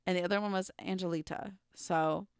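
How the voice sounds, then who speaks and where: A woman's voice: lowish voice, steady tone, brisk, smooth, no room sound, studio quality. A young woman co-hosting a podcast, casually telling a true crime story with lots of "like" and "um" fillers. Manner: chatty, full of "like" and "um" fillers. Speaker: podcast co-host.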